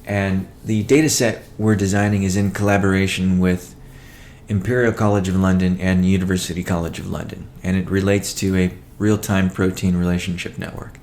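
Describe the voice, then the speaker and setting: A man presenting a company update as a chief executive, in a measured, matter-of-fact way with halting phrasing. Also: sits low, flat delivery, a little mellow, a little hiss or hum. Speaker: company CEO hosting a weekly call. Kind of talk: business update presentation, partly read from notes.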